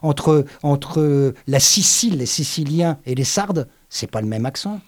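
A man hosting a radio interview in the studio, talkative and lively, with many fillers. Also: on the high side, measured pace. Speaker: radio host.

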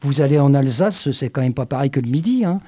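A man hosting a radio interview in the studio, talkative and lively, with many fillers. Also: medium pitch, fast. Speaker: radio host.

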